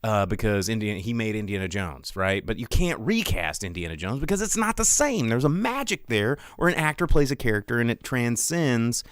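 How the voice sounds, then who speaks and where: A man's voice: mid-range voice, sing-song, brisk, hardly any pauses, smooth, dry recording, clean studio sound. A man hosting a podcast, talking casually in a rambling, emphatic, exasperated rant. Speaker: podcast host.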